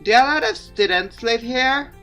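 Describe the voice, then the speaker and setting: A man's voice: extremely high pitch, normal speed, pushed and bright, faint music behind, pitched above the performer's own voice. A man voicing a teasing, bubbly teenage girl from a visual novel in a casual, exclamatory way. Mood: flustered.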